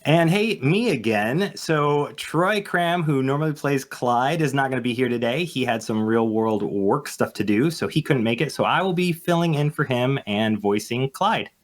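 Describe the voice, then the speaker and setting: A man's voice: medium pitch, lively intonation, normal speed, few pauses. A man speaking casually as a show's writer, introducing a cast and explaining things informally.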